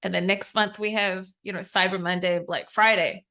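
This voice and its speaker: A woman's voice: mid-range voice, steady tone, brisk, bright, forceful tone. A woman with a New Zealand accent hosting a business podcast, chatty and informal, thinking aloud as she talks. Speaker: podcast host. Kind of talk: casual business chat.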